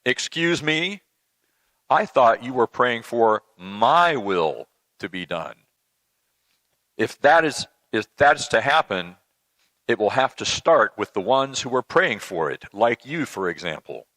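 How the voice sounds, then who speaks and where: Man as a patient, teasing deity: conversational, admonishing, lively intonation, dry close sound, church sketch. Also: medium pitch, slow pace, no echo. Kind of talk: dramatic reading of a comic church sketch.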